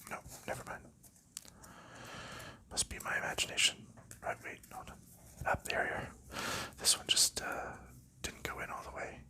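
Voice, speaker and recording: A man hosting an ASMR video in a soft unvoiced whisper, calmly narrating each step as he packs up a board game, with relaxed fillers. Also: moderate pace. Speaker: ASMR channel host.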